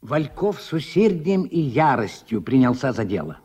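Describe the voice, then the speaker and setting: A male narrator of a Soviet radio drama, reading scripted prose. His husky, mid-pitched voice moves with lively intonation. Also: normal speed.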